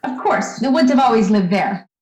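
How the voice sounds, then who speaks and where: A woman's voice: fairly high pitch, sing-song, normal speed, a little mellow, faint music behind. A woman playing a doting, emotional mother who gushes and fusses, in old-time radio drama style. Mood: bright, chatty. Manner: gushing, fussing. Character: doting, emotional mother overjoyed at her son's return. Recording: echoey room.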